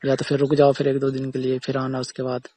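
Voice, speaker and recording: A man's voice: medium pitch, completely flat delivery, fast talker, mellow, breathy edge, lightly acted. A man playing a defensive tailor who keeps explaining himself insistently to a customer in a casual phone conversation. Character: defensive male tailor arguing patiently with a customer.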